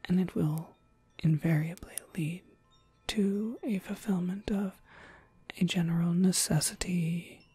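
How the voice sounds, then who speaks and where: Woman acting as a gentle witch, ASMR whisper, low and mellow, polite, softly explaining. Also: fairly even intonation, a little slow, no room sound. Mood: gently inviting. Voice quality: breathy, whispery. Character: gentle witch shopkeeper, courteous, quietly proud of her craft. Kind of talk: ASMR roleplay, whispered and soft-spoken.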